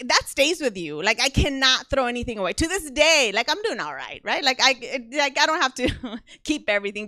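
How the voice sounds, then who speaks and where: A young woman with a Mexican accent performs stand-up with a bright, tense edge to her voice. She chatters in a self-mocking way and keeps breaking into laughter mid-sentence. The sound is dry and close, with no room around it. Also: sits high, dry recording. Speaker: stand-up comedian. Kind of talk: stand-up comedy set.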